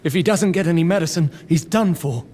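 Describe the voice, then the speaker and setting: A man's voice: on the high side, fairly quick, darkish tone, big-room sound, slight background noise, natural voice. A man playing a desperate survivor in a video game, urgent and pleading. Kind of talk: video game character acting.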